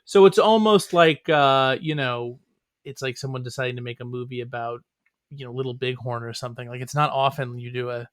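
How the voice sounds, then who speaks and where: A man's voice: mid-range voice, fairly quick, fairly bright tone, no room sound. An American man talking casually and wryly as a podcast guest. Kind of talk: casual discussion.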